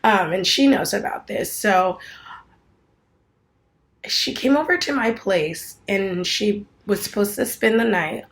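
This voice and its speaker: A woman's voice: mid-range voice, takes it slowly. A woman in her twenties talking casually to her viewers in a rambling home vlog, full of fillers and restarts. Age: 20s. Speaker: vlogger.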